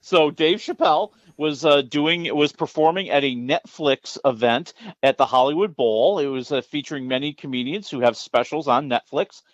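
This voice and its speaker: A man's voice: fairly high pitch, normal speed, few pauses, no echo. Chatty male entertainment reporter, slightly dull audio. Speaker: entertainment reporter, radio guest.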